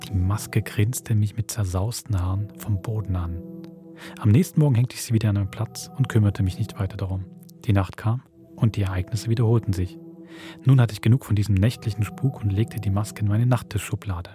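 A man with a Swiss accent hosting a podcast, reading a spooky story aloud in a measured storytelling manner. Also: on the low side, steady tone, music in the background. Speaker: podcast host.

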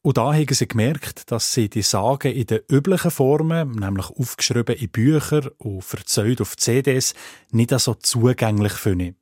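Husky Swiss German male radio presenter. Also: medium pitch, darkish tone, no echo, studio quality. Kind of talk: scripted radio feature narration. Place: dry studio.

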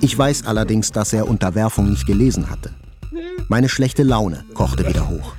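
A man acts a restrained journalist telling his story in a literary radio play. His low voice moves plainly from moment to moment, with music clearly behind it. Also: normal speed, natural voice. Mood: matter-of-fact. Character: male journalist reliving a fateful morning moment by moment. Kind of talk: literary audio drama narration.

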